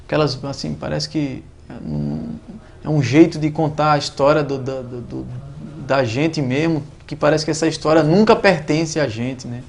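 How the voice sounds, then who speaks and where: Um homem, artista de teatro, falando de um jeito solto numa entrevista, com pausas e recomeços. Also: male voice, fairly high pitch, expressive, gravelly, a little hiss or hum. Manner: loose, trails off and restarts. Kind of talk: casual interview.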